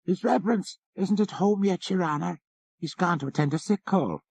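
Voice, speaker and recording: A man's voice: high voice, moderate pace, gravelly, a little mellow, slightly rough, no room sound, pitched above the performer's own voice, heavily acted. A man voicing a deferential country housekeeper in an audiobook story. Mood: polite. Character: priest's housekeeper, deferential Irish country servant woman. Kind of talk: audiobook character reading.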